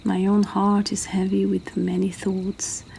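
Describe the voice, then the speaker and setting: Slow whispering woman reading poems. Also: medium pitch, completely flat delivery, gravelly, slight background noise, rough recording. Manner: soft whispering, slow and hushed. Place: indoors by a fire.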